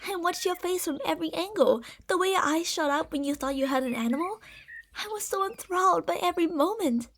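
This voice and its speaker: A woman's voice: very high voice, lively intonation, brisk, a little hiss or hum. A woman voicing a possessive, delusional lamia monster in an ASMR roleplay, speaking in a haughty, theatrical, sweetly gaslighting way. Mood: hushed, creepy.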